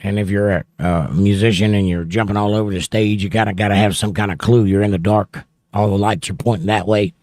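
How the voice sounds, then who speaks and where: A man's voice: lowish voice, fairly even intonation, brisk, a little mellow, dry recording. A man hosting a casual livestream, reading viewer comments aloud and chatting on about them into a close microphone. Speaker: host reading live chat. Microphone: close studio mic, held near the mouth.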